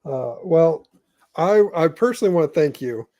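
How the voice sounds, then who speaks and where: Slightly high-voiced man hosting casually. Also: expressive, normal speed, dark tone, highs cut off. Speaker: podcast host. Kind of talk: casual chat.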